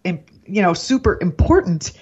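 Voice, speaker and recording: A woman's voice: fairly low pitch, sing-song, normal speed, darkish tone, breathy edge. A woman comedian and news anchor talking politics as a guest in an earnest, rambling way, laughing easily. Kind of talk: casual political chat. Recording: dull lo-fi remote line.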